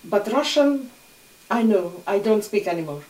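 A woman's voice: medium pitch, animated, moderate pace, a little mellow. Older woman recalling, distant and roomy. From Hungary. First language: Hungarian. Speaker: interviewee. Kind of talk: oral history recollection. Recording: roomy echo, distant mic.

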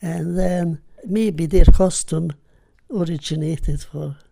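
Older Hungarian woman reminiscing, mellow. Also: on the low side, creaky. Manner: unhurried, reflective, simple phrasing. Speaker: interviewee. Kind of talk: oral history interview.

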